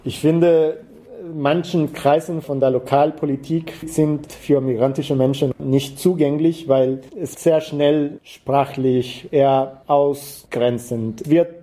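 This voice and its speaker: A man's voice: a little high, fairly even intonation, slow, mellow. A man from Rica, answering interview questions in German with frequent hesitations and fillers. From Costa Rica. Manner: hesitant, frequent "äh" fillers, non-native grammar. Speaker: activist guest.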